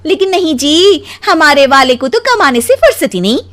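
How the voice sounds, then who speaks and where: A woman voicing a demanding, nagging young wife in an animated story, with a pushy, complaining manner. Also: sits high, moderate pace, voice pushed up.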